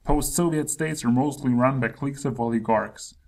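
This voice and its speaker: A man's voice: medium pitch, normal speed, creaky. A man playing a stern, self-righteous American president in satirical rhymed dialogue, speaking in an oratorical, diplomatic way. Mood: disapproving.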